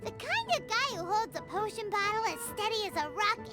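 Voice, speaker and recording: A nosy little floating girl chatters in a very high, bouncing voice that talks about herself in the third person. Game music plays loudly behind her. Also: animated, brisk. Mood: chirpy. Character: tiny chirpy floating girl companion, nosy.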